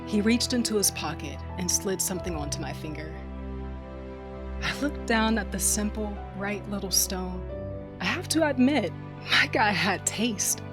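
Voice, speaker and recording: A woman's voice: medium pitch, animated, a little slow, music in the background, close to an everyday voice. A woman playing a tough, wounded, sardonic smuggler who tells her own story in an audio drama, intimate and wry. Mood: wry, tender narration. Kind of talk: first-person audio drama narration with dialogue.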